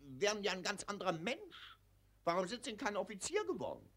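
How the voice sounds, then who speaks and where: An actor playing a pompous, patronizing older colonel in a 1940s radio play, blustering and lecturing. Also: male voice, high voice, wildly swooping pitch, a little fast, a bit bright, full character voice.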